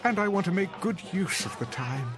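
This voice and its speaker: An actor in a radio drama playing a bewildered older husband who pleads with desperate earnestness, with music behind him. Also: male voice, high-pitched, expressive, a little fast. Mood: earnest pleading. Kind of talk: audio drama acting.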